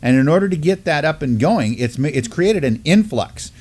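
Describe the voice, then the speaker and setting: A man's voice: fairly high pitch, lively intonation, moderate pace, darkish tone. A middle-aged man co-hosting a studio news program, reading articles aloud with many fillers and restarts. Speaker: co-host. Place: studio with mottled backdrop.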